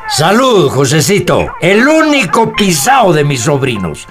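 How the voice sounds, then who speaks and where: An actor plays a domineering Peruvian uncle in a radio drama. He taunts coarsely in a high, very animated male voice, over faint music. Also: a little slow. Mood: mocking, approving. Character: older macho uncle and godfather, mocking, domineering.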